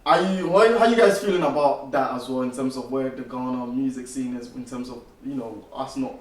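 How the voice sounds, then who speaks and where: A man's voice: medium pitch, a little fast. A man hosting a casual interview, asking long, rambling questions full of fillers and agreeing often. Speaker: interview host. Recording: roomy echo, distant mic.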